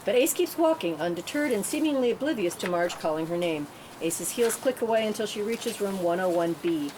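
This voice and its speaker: A woman's voice: expressive, brisk. A woman narrating screenplay directions at a table read. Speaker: narrator reading stage directions. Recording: clear background rumble and room noise.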